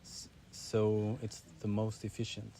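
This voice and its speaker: A man's voice: fairly low pitch, completely flat delivery, a little slow, creaky, warm tone, slight background noise. A man interviewing a guest in a casual way, asking questions and nodding along with short encouraging replies.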